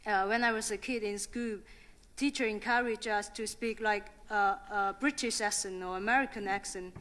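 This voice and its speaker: A woman's voice: fairly high pitch, a bit bright. A young woman with a Chinese accent speaking on a conference panel, hesitant, with many fillers and restarts. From China. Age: young adult.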